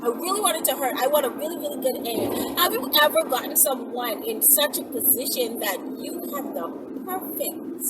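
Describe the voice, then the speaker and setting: A woman in her thirties chatting casually to camera in an emphatic way, repeating words for stress. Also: high voice. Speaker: vlogger, channel host. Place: inside a moving car with windows down. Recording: very noisy car ride, distant mic.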